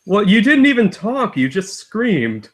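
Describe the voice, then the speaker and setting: Unhurried man chatting casually. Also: high voice, wildly swooping pitch. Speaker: guest co-commentator. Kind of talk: casual chat.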